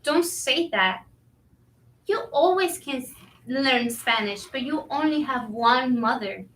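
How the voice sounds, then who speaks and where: High-voiced young restaurant worker, played by a woman, roomy sound. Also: expressive, takes it slowly, a bit bright, heavily acted. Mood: sincere, reflective. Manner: bilingual, switches between Spanish and English. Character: overworked, frank, warm-hearted young Colombian-American restaurant worker. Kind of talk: staged play reading. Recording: roomy, distant microphone.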